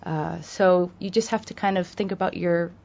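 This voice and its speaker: A woman's voice: lowish voice, fairly even intonation, moderate pace, creaky, a little mellow, highs cut off. An American woman speaking as a radio guest, thoughtful, with many fillers and restarts. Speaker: guest from a charity. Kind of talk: radio interview.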